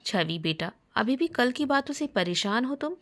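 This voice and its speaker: A woman voicing a gentle, concerned middle-aged mother in a family story. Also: fairly high pitch, very animated, normal speed, pitched above the performer's own voice.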